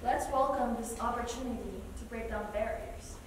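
A woman's voice: a little high, moderate pace, lightly acted. A woman playing an idealistic theater director speaking formally in a staged reading, heard from far off in a theater. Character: idealistic, welcoming theater director offering roles. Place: large theater stage, indoors. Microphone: far camera in the audience. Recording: distant, very echoey theater, noisy.

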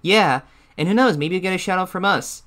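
A man's voice: high-pitched, a little fast, fairly bright tone. A man voicing a deferential, slightly unsure shadow bear sidekick who gives reaction commentary. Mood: obliging, unsure. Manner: deferential, hesitant, obliging.

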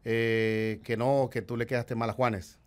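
A man in his thirties hosting a casual podcast chat, who teases his guest and mimics accents while telling stories. He talks into a desk mic in a dark studio. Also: medium pitch, level tone, a little slow, smooth. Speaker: podcast host. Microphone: desk mic on stand.

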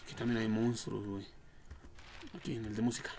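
A gravelly-voiced young Mexican man over background noise with clicks and typing. He comments casually on a horror game as he streams it, swearing and reacting out loud in heavy slang. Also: fairly low pitch, level tone, fairly quick. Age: young adult. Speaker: livestreamer. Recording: noisy home livestream with clicks and typing.

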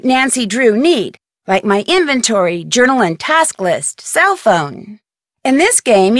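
A curious, organized teenage girl detective in a video game. Her voice sits fairly high and is recorded very dry. She explains things in a friendly, chipper, clearly guided way, like scripted tutorial narration. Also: fairly bright tone, no echo. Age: young adult. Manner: friendly, clear instructional delivery.

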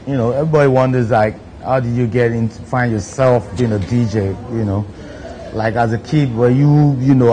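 A man's voice: medium pitch, mellow, slight background noise, poor audio. Laid-back Nigerian man with a DJ's patter. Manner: laid-back, frequent "you know" fillers. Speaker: DJ hosting his own video countdown. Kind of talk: relaxed seated talk to camera. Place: studio set, seated in armchair.

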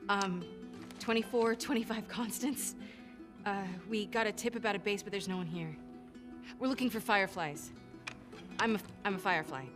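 A woman's voice: medium pitch, moderate pace, natural voice. Over prominent music, a young American woman speaks in a mid-range voice performed by an actress. She is a determined, weary survivor in a post-apocalyptic game. Her delivery is naturalistic, plain-spoken and earnest, with hope underneath. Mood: nervous, earnest. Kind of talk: naturalistic game cutscene acting. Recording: music behind the voice.

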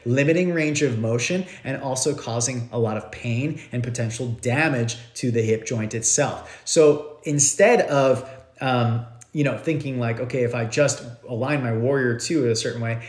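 A man in his thirties explains yoga ideas to a live audience from his living room. He talks animatedly and circles back over his own phrases, with his voice at an ordinary middle pitch. The room is bare and echoey, picked up on a phone camera held close. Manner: animated, gestures a lot, loose and repetitive phrasing. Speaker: yoga teacher. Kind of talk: live-stream teaching talk. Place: living room at home, indoors.

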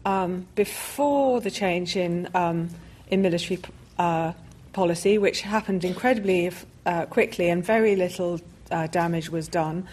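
A woman's voice: fairly low pitch, normal speed, a little mellow, slight background noise. A woman speaking as an expert on a panel, explaining off the cuff and often repeating words as she goes. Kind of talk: extemporaneous explanatory panel talk. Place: public panel event on a stage.

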